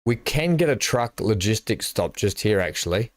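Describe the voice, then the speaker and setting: Guy live streaming a builder game, lowish voice, dry close sound, mutters as he places stuff. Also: normal speed, dry recording. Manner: thinks aloud, relaxed, mumbles placement choices. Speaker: gaming streamer. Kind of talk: casual live-stream commentary while building.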